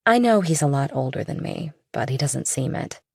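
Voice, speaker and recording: Low, creaky young woman, guarded and direct. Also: expressive, moderate pace, mellow, dry recording, clean studio sound, heavily acted. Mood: breezy. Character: young worldly girlfriend of a rich older man, guarded, pointed. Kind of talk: audiobook character dialogue.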